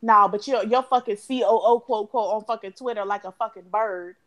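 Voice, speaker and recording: A woman in her thirties co-hosting a podcast, animated and profane, who laughs often in casual chat. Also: medium pitch, a little fast. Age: 30s. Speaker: podcast co-host.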